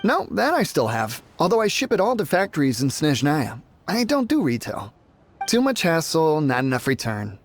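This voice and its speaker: An actor voicing a businesslike male merchant in a game, brisk and dismissive. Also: fairly high pitch, wildly swooping pitch, heavily acted. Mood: curt. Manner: brisk, dismissive, then flustered. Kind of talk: game voice acting.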